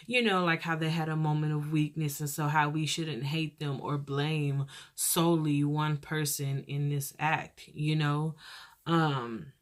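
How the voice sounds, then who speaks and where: A young woman hosting her own podcast with a soft voice, talking casually and earnestly, rambling and pausing as she goes. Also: low voice. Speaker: podcast host.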